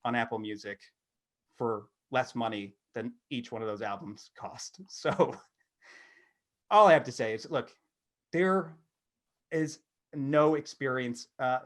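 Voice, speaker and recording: A middle-aged American man co-hosting a podcast, talking in an animated, rambling, self-deprecating way, between comic monologue and casual chat. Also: medium pitch, slow, pauses often. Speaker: podcast co-host.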